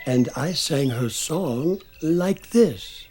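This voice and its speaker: A man narrates a bedtime story at an extremely slow, unhurried pace. His voice is soft and soothing, with a faint bed of music behind it. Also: on the high side, lively intonation.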